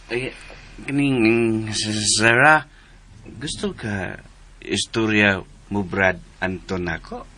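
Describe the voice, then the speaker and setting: An actor in a radio drama playing a slow-minded, childlike, eager young man who stammers and labors over his words. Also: on the low side, bright, forceful tone, faint music behind. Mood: puzzled, friendly. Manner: halting, repeating syllables.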